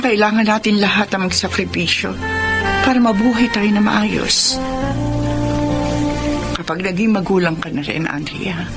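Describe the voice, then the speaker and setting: A woman's voice: mid-range voice, close to an everyday voice. A woman playing a busy career mother who scolds and earnestly explains herself to her daughter, in a radio drama with music behind. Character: working mother, career woman, stern but loving. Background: background music.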